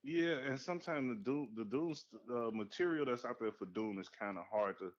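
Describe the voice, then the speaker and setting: A man co-hosting a podcast in casual movie chat, animated and profane, jumping to high excited outbursts. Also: a little fast. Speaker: podcast co-host. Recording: dull lo-fi sound.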